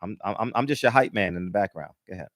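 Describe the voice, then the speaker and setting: A man hosting a casual talk show, laid-back, his volume swinging between loud and soft. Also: on the low side, quick pace, no room sound, clean studio sound. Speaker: host.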